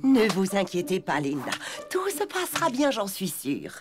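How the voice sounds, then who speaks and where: Lively, gentle cartoon grandmother. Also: mid-range voice, moderate pace, music in the background. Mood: tender, reassuring. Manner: tender, reassuring. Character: kindly, gentle elderly grandmother elephant. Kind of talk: French cartoon dubbing.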